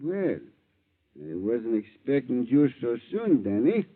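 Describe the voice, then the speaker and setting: Shifty, cowardly waterfront blackmailer. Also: male voice, medium pitch, very animated, slow pace. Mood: wary. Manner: wheedling, slangy. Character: shifty, cowardly male bait peddler and blackmailer. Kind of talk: 1940s radio drama acting. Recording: dull 1940s radio recording.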